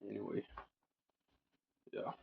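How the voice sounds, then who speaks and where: A man's voice: slow, narrow, tinny audio. A young man rambling casually in a diary vlog, full of fillers and casual swearing, his voice still recovering from a sore throat. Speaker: vlogger.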